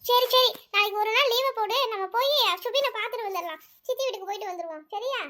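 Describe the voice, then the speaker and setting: A woman's voice: very high voice, fast, a bit bright. A woman voicing a worried aunt who frets and complains about family news. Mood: reassuring. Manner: fretting, complaining.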